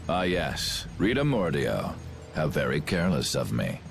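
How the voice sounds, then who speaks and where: A deep, pompous villain commander. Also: male voice, wildly swooping pitch, unhurried, creaky, music in the background, full character voice. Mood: cold, imperious. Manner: pompous, formal, theatrical. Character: grandiose male villain commander, cold would-be ruler. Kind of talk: video game cutscene acting.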